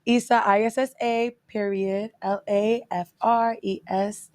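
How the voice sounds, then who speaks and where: Woman, mid pitch, slow delivery, boastful self-introduction. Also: steady tone, darkish tone. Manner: boastful, spells things out slowly. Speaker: podcast guest. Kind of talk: casual chat.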